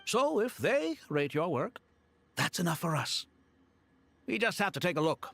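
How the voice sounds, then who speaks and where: A friendly game scholar. His voice sits a bit high and moves around a lot, eager and informal throughout. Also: very animated, unhurried, fairly bright tone, faint music behind, exaggerated voice. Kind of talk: video game voice acting.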